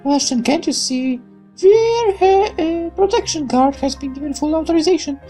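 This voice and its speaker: A woman's voice: high voice, animated, moderate pace, mellow, soft background music, voice pushed up. A woman voicing a young, cautious female factory worker, earnest and protective, in a visual novel game. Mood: urgent warning.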